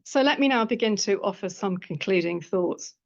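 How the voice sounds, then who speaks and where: A woman historian reading out a prepared seminar paper in a measured way, with dry asides. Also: medium pitch, lively intonation, gravelly, lo-fi sound. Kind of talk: academic lecture read from a prepared paper. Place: lecture room at a college.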